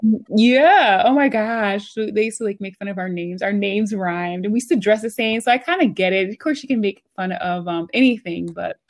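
An American woman speaks as a guest in a relaxed interview format. Her voice sits at an average pitch for a woman. She talks informally and chattily, telling personal stories with plenty of "like" and "you know". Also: moderate pace. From United States, Philadelphia area. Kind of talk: casual conversational storytelling.

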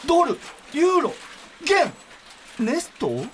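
An actor playing an astonished, excitable male underling in a theatrical advert. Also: very high voice, slow pace, exaggerated voice. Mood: amazed. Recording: noisy dramatic ambience.

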